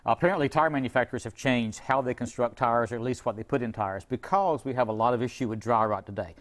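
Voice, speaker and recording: A man's voice: mid-range voice, fast. A middle-aged man speaking as a car repair expert guest in an informal TV interview, explaining things in a folksy, conversational way.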